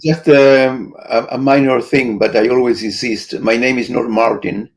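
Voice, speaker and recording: An older man from Argentina, speaking slowly and precisely as a guest. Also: mid-range voice, fairly even intonation. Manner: slow, precise, insistent. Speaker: guest artist.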